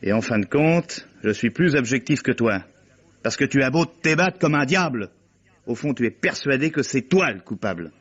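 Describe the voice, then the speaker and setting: A man's voice: mid-range voice, lively intonation, normal speed, a bit bright, natural voice. An actor playing a shrewd, sardonic man in a radio mystery, speaking with biting, assured irony. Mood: sardonic, accusing. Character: shrewd, sardonic male friend of the accused. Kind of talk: French radio mystery drama acting. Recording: dull, muffled old radio recording.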